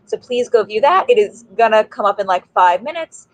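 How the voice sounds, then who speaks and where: A woman giving casual, halting, unscripted announcements as a livestream host. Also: mid-range voice, animated.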